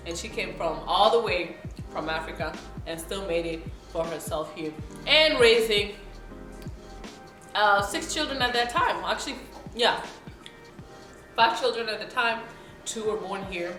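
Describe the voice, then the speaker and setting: A woman's voice: mid-range voice, expressive, a little slow, long pauses, fairly bright tone. A young woman from South Sudan chatting casually to camera as a vlogger while she eats, often checking in with her viewers. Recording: roomy room with faint background music.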